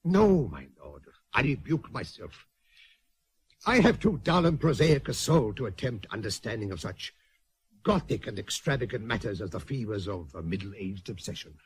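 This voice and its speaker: An actor in a radio drama playing a stiff, prosaic senior naval lord, speaking formally with dry disapproval. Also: male voice, lowish voice, sing-song, husky, no echo, heavily acted. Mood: restrained disapproval. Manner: formal, measured, dryly disapproving.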